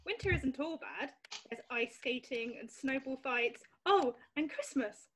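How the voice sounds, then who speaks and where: Woman doing a sweet trusting little girl, really high voice, polite n earnest. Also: lively intonation, unhurried, fairly bright tone, a little hiss or hum, low-quality recording. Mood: polite, curious. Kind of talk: amateur screenplay readthrough.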